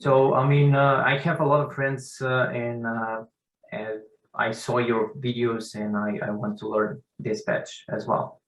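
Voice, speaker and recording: Macedonian-accented man, flat, echoey. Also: medium pitch, measured pace. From North Macedonia. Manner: hesitant, many uhs. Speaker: student.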